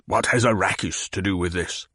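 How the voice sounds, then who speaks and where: A man's voice: sits low, fairly even intonation, moderate pace, pushed and bright, slightly rough, dry recording, clean studio sound, exaggerated voice. A man voicing a fat, petulant, demanding baron who blusters and growls. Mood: blustering.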